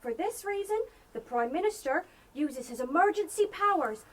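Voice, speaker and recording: A bold village girl in a wartime radio drama, acted by a teenage girl. Her voice is very high and swings freely, with an emphatic, taunting delivery. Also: lively intonation, normal speed, very noisy. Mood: triumphant. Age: teens. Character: bold, superstitious country girl in wartime England. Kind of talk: radio play acting.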